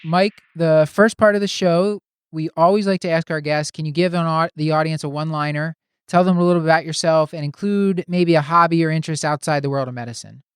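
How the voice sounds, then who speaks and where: A man's voice: a little high, brisk, smooth, no echo, studio quality. A man hosting a medical podcast in a loose, chuckling way with frequent restarts. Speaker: podcast host.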